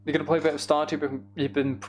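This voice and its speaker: A man streaming a game, chatting casually and thinking aloud in an unhurried way as he plays. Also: on the high side, little pitch movement. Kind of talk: casual gaming livestream chat.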